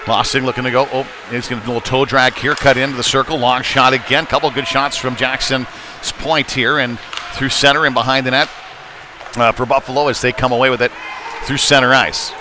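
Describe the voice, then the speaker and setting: A man's voice: mid-range voice, flat delivery, fairly quick. A man doing live play-by-play hockey commentary with folksy, hesitant phrasing from inside an ice arena. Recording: live rink broadcast with loud background noise. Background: loud arena ambience.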